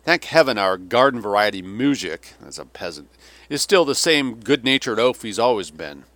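A man's voice: on the low side, expressive, normal speed, fairly bright tone, dry recording. Man acting a cynical, sneering old Russian general. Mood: sardonic. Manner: sneering, ranting, theatrical. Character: cynical, weary, vulgar old Russian general, bitterly sardonic. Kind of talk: dramatic audiobook character reading.

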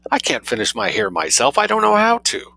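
A man's voice: medium pitch, wildly swooping pitch, fairly quick, gravelly, sharp tone, no echo, pitched above the performer's own voice, exaggerated voice. A man voicing a fretful society hostess. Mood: tragic. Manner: plaintive, tragic wailing.

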